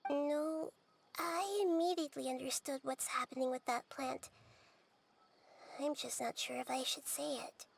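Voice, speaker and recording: A woman's voice: high-pitched, fairly even intonation, measured pace, noisy background. An actress playing a small, caring, childlike girl in a video game, speaking with careful earnestness. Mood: thoughtful, troubled. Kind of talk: English video game voice acting. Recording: music clearly behind the voice. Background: background music.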